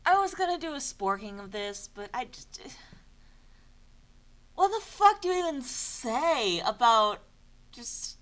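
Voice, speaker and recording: A woman's voice: sits high, sing-song, a little slow, pauses often, fairly bright tone. A woman doing an informal dramatic reading of a story, with sardonic, exasperated delivery and casual asides. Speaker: narrator of a comedic fan fiction reading.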